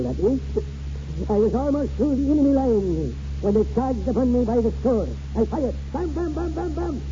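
A man's voice: very high voice, warm tone. An actor in a 1940s radio drama playing a boastful, flowery old great-grandfather who spins tall tales in theatrical style. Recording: dull, noisy 1940s radio recording.

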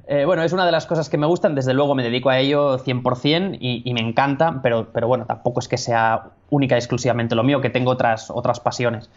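A man from Spain with a Spanish accent, a public speaking coach talking in a relaxed interview, thinking aloud with frequent restarts and easy laughs. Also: mid-range voice, fast, hardly any pauses. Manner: thoughtful, many restarts, laughs easily. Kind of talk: casual interview chat. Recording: dull lo-fi sound.

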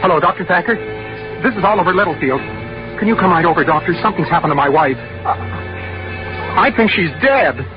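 An anxious, guilt-ridden husband in a 1940s radio suspense play. The voice is a raised male one that swings widely in pitch, halting and stammering with overwrought feeling. The old broadcast sound is dull, with loud music behind it. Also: lively intonation. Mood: shocked, distraught. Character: anxious, remorseful husband confessing a murder plan. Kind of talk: 1940s radio acting, first-person suspense narration.

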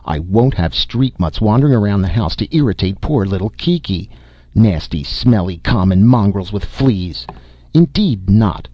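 A man voicing a haughty, irritable young mother with a brisk, sharp manner in an audiobook. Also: mid-range voice, big pitch swings, creaky, a little hiss or hum, pitched above the performer's own voice. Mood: sharp indignation. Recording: muffled audio.

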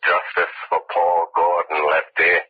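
An actor playing a mysterious, menacing avenger in an old radio mystery, speaking in a deliberate, insinuating, ominous way. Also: male voice, low voice, monotone, breathy edge. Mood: menacing, taunting. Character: mysterious, menacing male avenger taunting criminals. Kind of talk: 1930s radio mystery acting. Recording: muffled old radio recording, telephone-like.

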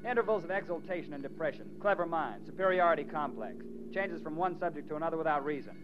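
A man's voice: on the high side, lively intonation, fast, background noise, natural voice. A man playing an assured, opinionated American doctor in a 1940s radio drama, with a clipped, clinical way of talking. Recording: dull 1940s radio recording with music.

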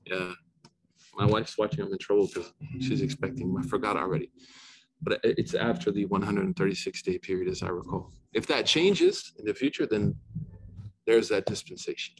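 A man's voice: lowish voice, normal speed, a little hiss or hum, poor audio. A middle-aged man giving an informal lecture on Islamic rulings, talking conversationally with many restarts and asides. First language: not Arabic.

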